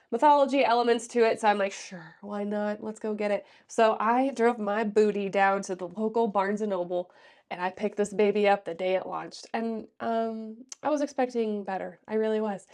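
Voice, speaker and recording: A woman's voice: mid-range voice, darkish tone. Congested woman in her late twenties, sassy book vlogger, chatty, stuffed-up nose, voice in an ordinary middle register. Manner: sassy, chatty, trails off with fillers. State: congested from allergies. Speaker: book reviewer. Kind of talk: casual book review vlog.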